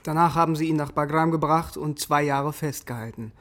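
Grim, higher-voiced German soldier, played by a man. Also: a little fast, fairly bright tone, slight background noise, natural voice. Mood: grim, matter-of-fact. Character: hardened, vengeful German special forces sergeant. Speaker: soldier narrating his story. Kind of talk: radio drama acting.

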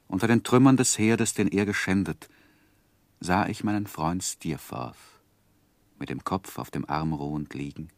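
An actor playing a reflective, grieving gentleman who narrates his life story in a measured, literary radio play style. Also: deep voice, dry recording. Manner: measured, solemn, sorrowful.

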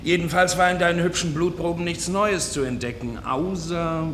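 A man's voice: on the high side, normal speed, a bit bright, natural voice. A man acting a flippant, teasing laboratory chemist in a German radio drama. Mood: showy, teasing. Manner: wry, teasing. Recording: echoing room, faint noise.

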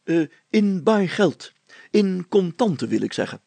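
A man's voice: sits high, very animated, measured pace, creaky, dark tone, no room sound, voice pushed up, full character voice. A man voicing a pompous, fussy village mayor in an audiobook, speaking formally and stumbling into self-corrections. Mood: pompous, lecturing.

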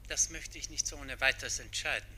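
Sharp, very bright-voiced formal doctor. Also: male voice, medium pitch, fairly quick, low-quality recording. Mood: grave. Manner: formal, precise. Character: formal, grave male doctor. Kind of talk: radio play acting.

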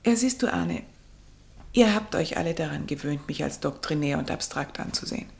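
A low, creaky, sorrowful countess. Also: female voice, expressive, normal speed, a little mellow. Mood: restrained, bitter. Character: reserved, principled, sorrowful estranged countess. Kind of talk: German literary radio play acting.

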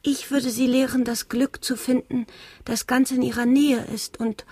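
A woman playing a young, earnest country gentlewoman in a German radio drama, speaking softly and measuredly with a wistful air. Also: fairly high pitch, level tone, measured pace, husky, no echo. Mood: earnest, gentle. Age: young adult.

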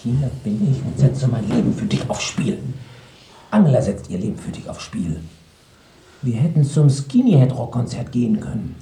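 A woman's voice: extremely low pitch, very animated, moderate pace, warm tone, reverberant, a little hiss or hum, rough recording. An actress playing an exasperated, domineering woman sheltering a fugitive in a German radio drama, scolding impatiently.

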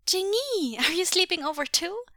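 A woman's voice: high voice, big pitch swings, measured pace, sharp tone, no room sound, studio quality, pitched above the performer's own voice, full character voice. A woman voicing an eager, cheeky little boy with a childlike, exclaiming way of talking in a story. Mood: excited.

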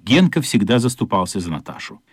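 An actor narrating a children's radio play as a thoughtful storyteller, in an expressive, lyrical literary manner. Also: male voice, fairly low pitch, a little fast, husky, dry recording, clean studio sound. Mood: warm, amused.

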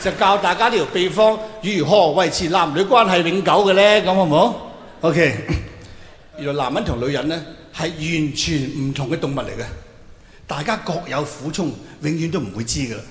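A man's voice: fairly high pitch, moderate pace. A middle-aged man with a Hong Kong Cantonese accent performing a stand-up monologue, colloquial and theatrical, throwing questions out to his listeners. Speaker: stand-up comedian. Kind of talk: stand-up comedy monologue to a live audience. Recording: echoey hall with long reverb, faint noise.

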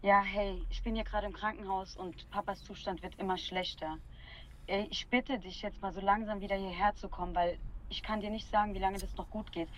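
A woman's voice: mid-range voice, steady tone, fairly quick, fairly bright tone, natural voice, rough recording. An actress playing an anxious, insistent sister who pleads urgently with her brother in a radio drama. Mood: anxious, pleading. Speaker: sister on a phone call. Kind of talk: naturalistic radio drama acting. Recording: faint background noise.

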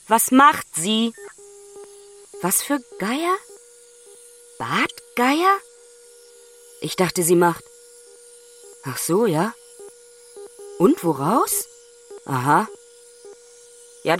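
A woman playing an exasperated grown daughter on the phone with her father in a radio play, impatient and pausing to listen. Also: mid-range voice, very animated, fairly bright tone, a little hiss or hum, heavily acted. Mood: impatient, insistent. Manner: impatient, one-sided phone chat with pauses. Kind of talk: naturalistic radio play dialogue.

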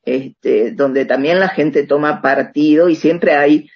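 A woman being interviewed on the radio, explaining in a conversational and halting way, searching for words and seeking agreement. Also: low voice, vocal fry, husky, highs cut off.

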